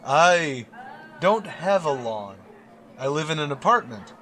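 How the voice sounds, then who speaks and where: A man's voice: on the high side, sing-song, slow, fairly bright tone, a little hiss or hum, full character voice. A man playing a shy, oblivious biology teacher in an old-time radio comedy, speaking in a mild, hesitant, earnest way. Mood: puzzled, earnest.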